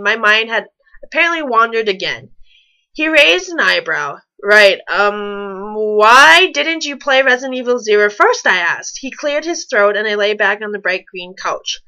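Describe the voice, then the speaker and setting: A woman's voice: medium pitch, sharp tone, poor audio. A woman reading fan fiction aloud and commenting on it casually. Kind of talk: storybook-style reading mixed with casual mocking commentary.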